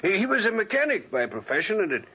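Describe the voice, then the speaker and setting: Lively, chatty old neighbour. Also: male voice, high voice, quick pace, breathy edge, heavily acted. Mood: excitable, insistent. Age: older. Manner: rambling, repeats himself for emphasis. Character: chatty, excitable, superstitious older neighbour. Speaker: radio drama actor. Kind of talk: 1940s radio acting. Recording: dull vintage 1940s radio recording.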